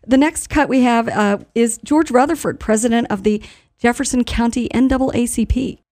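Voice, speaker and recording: A woman's voice: on the high side, normal speed, a little mellow. A woman hosting a radio news recap, introducing clips in a conversational way.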